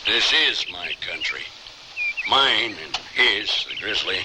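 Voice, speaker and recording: Brooding mountain outlaw, lowish voice that swings around a lot, terse, old radio play. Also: male voice, lively intonation, slow pace. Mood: challenging. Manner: terse, guarded, challenging. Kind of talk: old-time radio drama acting. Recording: very noisy old radio recording.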